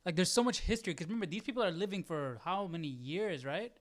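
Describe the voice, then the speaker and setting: A man's voice: high voice, quick pace, no room sound. A man chatting casually as a live study host, thinking aloud in an informal, tentative way.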